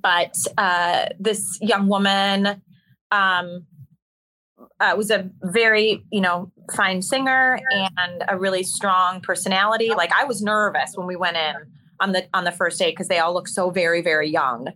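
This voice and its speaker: A woman who performs in musical theater, speaking as an interview guest, telling stories in a candid, animated, conversational way. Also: on the low side, measured pace, poor audio.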